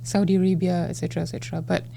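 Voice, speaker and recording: A man's voice: high-pitched, flat delivery, very fast, creaky, mellow, slight background noise. A 27-year-old man, a podcast guest talking in an easygoing, hesitant way with many fillers. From Singapore. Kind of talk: casual interview chat.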